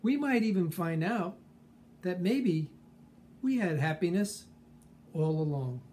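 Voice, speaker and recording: An older man in his sixties or beyond giving a reflective scripted monologue on personal growth, phrasing it deliberately with measured pauses. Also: sits high, animated, darkish tone, slight background noise. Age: 60s or older. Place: small furnished room at home, indoors.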